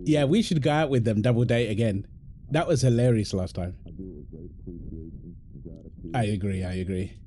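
An affectionate British husband acted by a man in an intimate audio roleplay. His voice sits at a medium pitch and he takes his time, speaking in a casual, teasing way and often repeating short phrases. There is clear background noise behind him. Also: very animated, a little slow, long pauses. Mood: tender, teasing. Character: affectionate, playful, apologetic husband, gamer with his mates. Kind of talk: intimate boyfriend-style audio roleplay, naturalistic.

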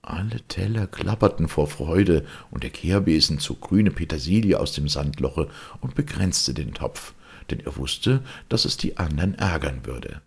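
A male fairy-tale narrator with a very low, gravelly voice. He reads expressively, with wide, animated intonation. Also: unhurried, hardly any pauses. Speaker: storyteller, audiobook reader.

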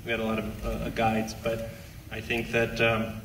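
A man in his thirties talks earnestly and haltingly with a very flat, unvarying pitch. He is on a handheld mic in an echoey theatre, picked up from a distance. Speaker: screenwriter at a premiere Q&A. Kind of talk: halting Q&A answer. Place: large theatre stage. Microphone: handheld stage mic, picked up from afar. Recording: echoey hall, distant camera, background noise.

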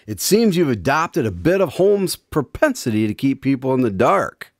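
A man's voice: medium pitch, very animated, normal speed, no room sound, studio quality. A man hosting a radio drama show in a scripted presenter's style. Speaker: host. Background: plucked guitar music.

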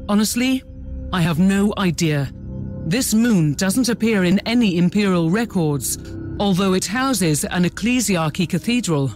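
A dutiful male starship captain from a video game. His voice sits quite high, and he reports formally and alertly. Faint music runs underneath. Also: expressive, unhurried, a little mellow. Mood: reporting. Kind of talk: video game cutscene acting.

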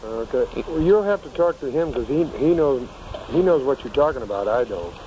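A man answering a phone call, patient and slow, sounding puzzled. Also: medium pitch, animated, dark tone, slight background noise. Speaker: call recipient.

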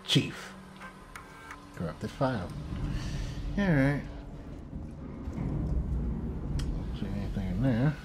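A man's voice: medium pitch, lively intonation, drawn-out words, long pauses. A man giving casual video game commentary, muttering asides to himself as he plays. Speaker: video game player, host. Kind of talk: casual let's play commentary. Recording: noisy home recording over game sound.

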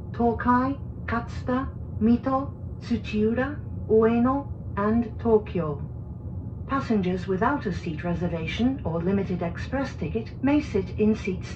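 A woman reading a formal, scripted train announcement in a measured, carefully enunciated way. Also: a little high. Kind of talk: recorded scripted English onboard announcement. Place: played over speakers inside a train car. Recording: muffled train PA, clear cabin noise.

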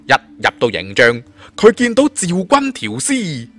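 An animated man narrates a fantasy tale. His delivery is colloquial and dramatic, with a lively swing in pitch, over background music. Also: a little slow. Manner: expressive, colloquial storytelling. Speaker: audiobook storyteller.

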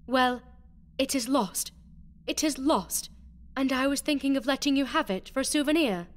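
A man voicing a cold, ironic young noblewoman in a storybook reading, in a raised falsetto. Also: normal speed, a bit bright. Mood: coy. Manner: cool, mocking, falsetto.

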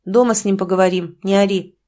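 A woman voicing a blunt, gruff village stepfather. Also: medium pitch, fairly even intonation, fast talker, warm tone, close to an everyday voice. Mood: urgent. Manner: blunt, scolding.

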